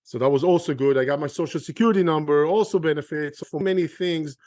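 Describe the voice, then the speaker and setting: A man's voice: on the high side, quick pace, a little mellow, dry recording. Israeli-accented man, dry close mic. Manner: earnest, restarts sentences often. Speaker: guest, real estate investor. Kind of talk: conversational podcast interview.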